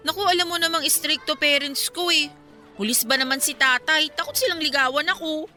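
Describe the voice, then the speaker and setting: Young Filipino woman, bright clear-cut tone, casual teasing banter, secretly lovesick, radio drama, faint music behind. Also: high voice, fairly quick. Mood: guarded, wistful. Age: young adult. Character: young single woman, wistful, secretly in love with friend.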